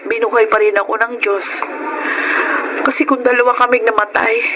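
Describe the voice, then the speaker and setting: High-voiced humble Filipino mother played by a woman. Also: fairly even intonation, normal speed, natural voice. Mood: subdued. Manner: pleading, deferential. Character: poor, humble, anxious widowed mother. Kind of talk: emotional Filipino radio-style drama acting. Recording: noisy radio drama with background ambience.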